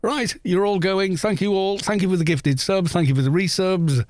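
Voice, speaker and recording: A man's voice: high-pitched, normal speed, dry recording, studio quality. A man chatting casually on a game livestream, rambling along with self-deprecating good humour.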